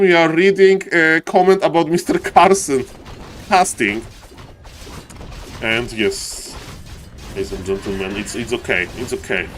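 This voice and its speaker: A man with a Polish accent doing live strategy game commentary and chatting to viewers, excitable and repeating phrases for emphasis. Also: sits high, a little hiss or hum. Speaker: esports caster and live streamer.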